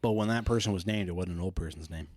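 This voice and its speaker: A man's voice: deep voice, fast talker, darkish tone, no echo, clean studio sound. A man co-hosting a podcast in casual, profane, joking chat. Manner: joking, profane, laughs often. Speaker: podcast co-host.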